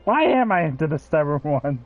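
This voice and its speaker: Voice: mid-range voice, sing-song, a little fast, phone-quality sound. A game streamer giving casual live commentary, muttering amused asides.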